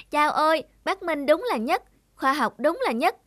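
A woman voicing a lazy, excitable teenage schoolboy in a cartoon. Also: sits high, unhurried, no echo, studio quality. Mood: delighted. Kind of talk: cartoon dubbing.

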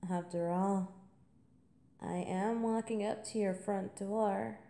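A woman playing a confident, playful college senior in an intimate, seductive audio roleplay monologue, teasing and at times breathy. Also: medium pitch, fairly even intonation, takes it slowly, mellow, roomy sound. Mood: sultry.

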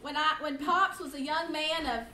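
A woman in her fifties, loud and animated, teaching a church class to a seated group. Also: sits high, moderate pace. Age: 50s. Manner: loud, animated, chatty with the room. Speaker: guest Bible class teacher. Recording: roomy echo, faint room noise.

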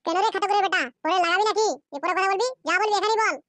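A high-voiced performer playing furious scorned wives in a Bengali comedy cartoon, ranting and scolding in a shrill, pitched-up childlike voice. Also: fairly even intonation, very fast, heavy background noise. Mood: taunting. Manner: scolding, foul-mouthed, ranting.

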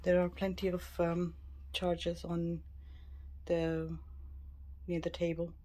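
Low, flat-toned woman giving a casual tour. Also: slow pace. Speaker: travel vlogger. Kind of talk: casual cabin tour narration. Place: mostly a small cruise ship cabin. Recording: clear background ambient noise.

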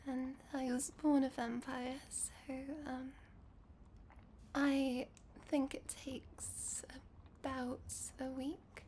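Casting: woman as nervous, sweet young female vampire, ASMR girlfriend roleplay; soft dark tone, breathy hesitant delivery, some background noise. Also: sits high, level tone, drawn-out words, exaggerated voice. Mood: tender, bashful. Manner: hesitant, stammering, breathy, often whispering.

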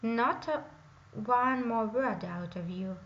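A woman's voice: mid-range voice, very animated, a little slow. A woman voicing a stern, commanding king and father, in a dull lo-fi recording. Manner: stern, authoritative.

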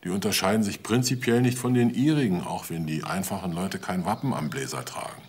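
A man's voice: deep voice, brisk, a little mellow, breathy edge. A man playing a wry, persistent police detective in a crime drama, dry, polite and probing. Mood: dry, insistent. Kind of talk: German TV crime drama acting.

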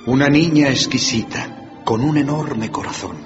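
An actor playing a solemn, knowing ghostly spirit guide in a radio drama. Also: male voice, mid-range voice, unhurried, natural voice. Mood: gently pointed. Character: solemn ghostly spirit guiding an old man through memories. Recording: muffled radio drama with prominent music.

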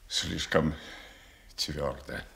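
A man's voice: a bass voice, steady tone, extremely slow, vocal fry, fairly bright tone, heavily acted. An actor playing a blind, broken old nobleman in despair, speaking with solemn, grief-laden theatrical delivery in a classical radio tragedy. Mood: resigned. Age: older.

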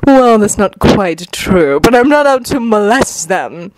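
A woman voicing a sly, easily offended snake-like villain, a ninja man, with slow, drawn-out, hissing speech. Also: mid-range voice, big pitch swings, poor audio. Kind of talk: fan fiction story reading with character voices.

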